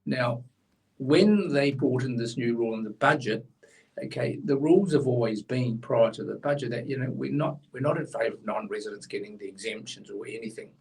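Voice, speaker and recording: Gravelly man, blunt and opinionated. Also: medium pitch, fairly quick, a little mellow, distant mic, low-quality recording. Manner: blunt, opinionated, explains in plain terms. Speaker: co-host, tax commentator. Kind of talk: casual explanatory chat.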